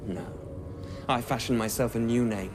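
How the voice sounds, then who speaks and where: An actor playing a proud, scornful young wizard in a fantasy film, speaking grandly. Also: male voice, medium pitch, normal speed, background noise, natural voice. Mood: scornful pride. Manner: haughty, grandiose.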